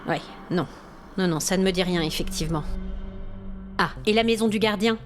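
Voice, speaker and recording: A woman's voice: fairly low pitch, moderate pace, background noise, lightly acted. A woman playing an exasperated, haughty hotel guest with complaints, in an acted audio fiction monologue. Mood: wary, exasperated. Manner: haughty, aggrieved, demanding. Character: indignant, exasperated female hotel guest complaining about ghosts.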